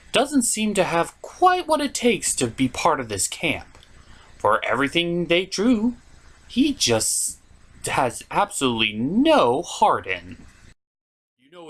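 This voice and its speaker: A performer voicing a theatrical gentleman puppet host, reviewing a cartoon from a script with dramatic pauses and mock outrage. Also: medium pitch, full character voice.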